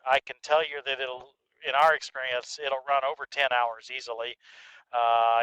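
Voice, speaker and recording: A man hosts a casual product review in a bright, forceful voice at a middle pitch. He explains things step by step, haltingly, repeating words and checking in with short questions. The sound comes dry through an intercom headset microphone. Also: clean tone, no room sound, low-quality recording.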